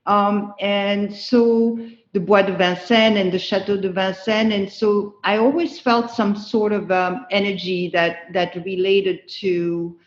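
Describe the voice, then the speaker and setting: A middle-aged woman from France, answering interview questions slowly and earnestly. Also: mid-range voice, fairly even intonation, roomy sound, lo-fi sound. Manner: slow, thoughtful, earnest. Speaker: guest.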